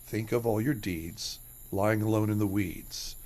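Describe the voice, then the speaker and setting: A man's voice: on the low side, fairly even intonation, measured pace, darkish tone, close to an everyday voice. An actor playing a greedy, grumpy man who mutters to himself. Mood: muttering. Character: greedy grumbling man named Tony. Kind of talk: radio drama acting.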